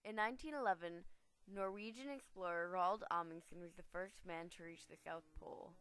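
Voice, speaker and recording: A woman's voice: lowish voice, a little fast, fairly bright tone, clear, resonant tone, no room sound, rough recording. A woman reading scripted narration as the newsreader of a mock 1920s news broadcast. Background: music.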